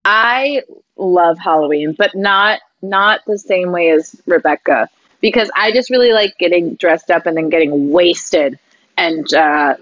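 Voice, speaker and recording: Female co-host with a lowish voice, casual chat, dry but slightly dull recording. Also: a little slow, a bit bright, no echo. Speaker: podcast co-host.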